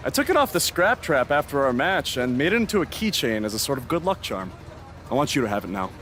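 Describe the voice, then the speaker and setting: A man's voice: medium pitch, big pitch swings, quick pace, noisy background, natural voice. A man acting as a joking, loyal young indie wrestler in a video game cutscene, with a laid-back, teasing way of talking. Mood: wry, joking.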